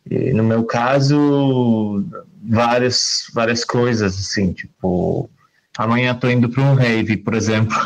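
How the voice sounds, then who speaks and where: Hesitant man with a slow, gravelly voice. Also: fairly low pitch. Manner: hesitant, many fillers and restarts. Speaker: filmmaker and researcher. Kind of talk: casual panel talk. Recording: dull lo-fi online call audio.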